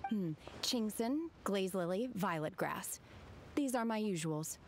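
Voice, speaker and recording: An actress voicing a calm, aloof and blunt young woman in an anime video game, speaking in a measured deadpan. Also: medium pitch, a little hiss or hum.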